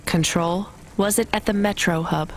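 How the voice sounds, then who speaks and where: A woman's voice: mid-range voice, fairly even intonation, moderate pace, natural voice. Woman acting a wary young delivery driver. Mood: alarmed, questioning. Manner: questioning, direct. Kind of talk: video game voice acting. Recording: faint vehicle noise behind.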